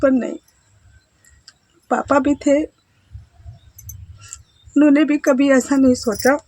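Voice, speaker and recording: A woman in her thirties from India, a housewife telling her life story to camera in a halting, hesitant way. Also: high-pitched, fairly even intonation, a little mellow, rough recording. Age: 30s. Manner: hesitant, halting, with self-conscious laughs and trailing phrases. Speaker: vlogger, housewife. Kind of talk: casual personal storytelling to camera.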